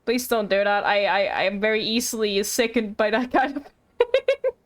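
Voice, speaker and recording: A woman's voice: mid-range voice, expressive, moderate pace. A woman streamer reacting casually to a game, rambling and teasing, often repeating her words. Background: game music.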